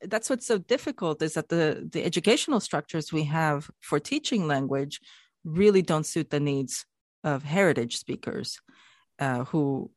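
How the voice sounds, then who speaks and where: A speaker in their forties, talking thoughtfully and explaining ideas in a relaxed podcast conversation. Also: mid-range voice, normal speed, no room sound, studio quality. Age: 40s. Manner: thoughtful, explanatory, with light laughs. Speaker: guest discussing language loss.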